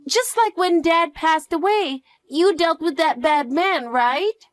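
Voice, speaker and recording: A woman's voice: extremely high pitch, takes it slowly, dry recording, studio quality, soft background music, pitched above the performer's own voice. A woman voicing an anxious, clingy young girl who pleads and asks question after question in a dramatized story. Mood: hopeful.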